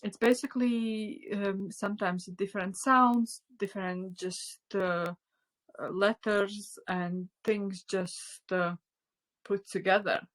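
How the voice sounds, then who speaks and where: A woman whose first language is Latvian, leading a live online spiritual class. She talks unscripted at a middle pitch, soft and halting, full of fillers and restarts, at times sinking to a near whisper. The sound comes from a phone mic. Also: fairly even intonation. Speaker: spiritual teacher.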